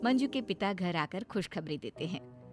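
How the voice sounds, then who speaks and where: A woman's voice: on the low side, expressive, moderate pace, soft background music. A woman storyteller reading scripted narration for a cartoon bedtime story.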